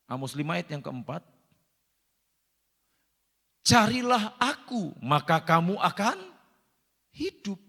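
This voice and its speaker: High-voiced gravelly pastor preaching in a hall. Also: male voice, sharp tone. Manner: repeats phrases, addresses congregation directly, emphatic rises. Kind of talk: sermon. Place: church hall. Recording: long church-hall reverb.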